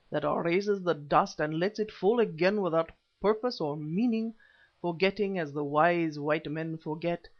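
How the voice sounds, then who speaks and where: A man's voice: fairly high pitch, lightly acted. A man voicing a proud, aging warrior-diviner with a grave, formal, oratorical manner in an audiobook adventure story. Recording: slightly dull, lo-fi audio.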